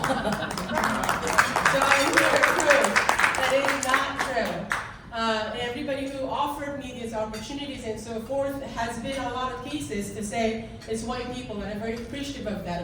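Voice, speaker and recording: A woman from South Korea speaks with a Korean accent in an earnest, halting way, restarting her sentences as she goes. Her voice sits fairly high, and she sounds far from the mic. The room behind her is very echoey, with clear background noise. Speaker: guest speaker. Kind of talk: speech to a club audience. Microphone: handheld mic.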